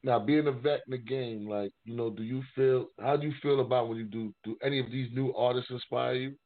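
A man hosting a casual interview, asking questions haltingly and rephrasing them midway. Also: medium pitch, steady tone. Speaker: interviewer, show host. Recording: narrow-band, phone-like sound.

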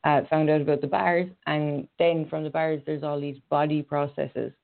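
A woman's voice: low-pitched, flat delivery, moderate pace, creaky. An Irish woman hosting a casual online radio chat, talking hesitantly with many fillers and restarts. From Ireland. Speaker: radio show host and horse rider. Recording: phone-line call audio.

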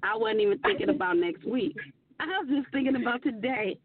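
A hoarse woman co-hosting a casual radio talk show, earnest and animated, laughing often. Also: sits high, fairly quick, a loud crowd. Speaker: co-host. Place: radio studio. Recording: tinny phone-like radio audio.

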